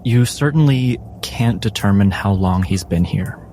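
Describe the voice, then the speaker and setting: A man with a lowish voice leads a tabletop game as its game master. He speaks very slowly and deliberately, pausing for drama, over soft background music. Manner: measured, deliberate phrasing with dramatic pauses.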